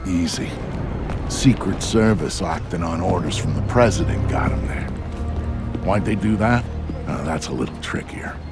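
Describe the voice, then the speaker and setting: Gruff ex-operative, low gravelly voice, wry spy-game narration, loud music behind. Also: male voice, animated, moderate pace. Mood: wry, confiding. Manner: conversational, wry, hard-boiled. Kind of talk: in-character story narration to a listener.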